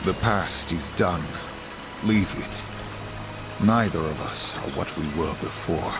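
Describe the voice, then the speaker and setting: A man's voice: low-pitched, takes it slowly, background noise. A man playing a stern, dutiful horseman warrior in a video game, speaking in a solemn, formal, declamatory manner. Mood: grave. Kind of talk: game cutscene dialogue. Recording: music behind the voice.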